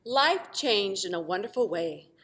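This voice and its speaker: A woman teacher reading a storybook expressively to children, pausing to explain simply and ask questions. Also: mid-range voice. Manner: animated, asks the listeners questions.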